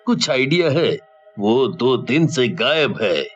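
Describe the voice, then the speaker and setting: A man playing a middle-aged police officer in a horror story, earnest and deliberate. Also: mid-range voice, big pitch swings, husky, highs cut off, soft background music, full character voice. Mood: official, stern. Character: middle-aged male police officer, stern grieving father.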